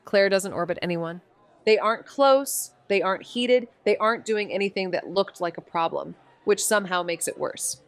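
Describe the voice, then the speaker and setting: An actress playing a wry, watchful young amateur sleuth in a noir audio drama, dry and understated. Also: female voice, mid-range voice, normal speed, natural voice. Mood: pensive. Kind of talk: noir audio drama dialogue and first-person narration.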